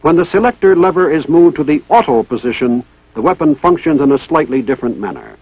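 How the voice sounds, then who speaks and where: Training film narrator: male, higher-pitched, formal and methodical, lively intonation, dull old soundtrack. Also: brisk, breathy edge, dry recording. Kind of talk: scripted instructional narration. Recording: dull, band-limited vintage military film soundtrack.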